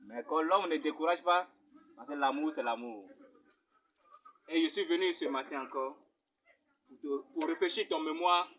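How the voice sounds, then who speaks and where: A man's voice: fairly high pitch, moderate pace, long pauses, a bit bright, a little hiss or hum, close to an everyday voice. A man playing a persistent, churchgoing suitor who earnestly begs a woman to forgive him and marry him. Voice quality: earnest, begging manner.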